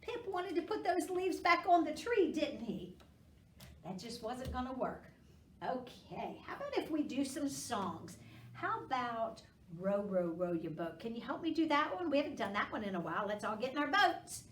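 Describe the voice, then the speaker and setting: Casting: female children's storytime host, expressive and coaxing, very varied pitch, roomy distant pickup. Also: moderate pace, slight background noise. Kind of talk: children's storytime read-aloud and chat. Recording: echoey room, distant microphone.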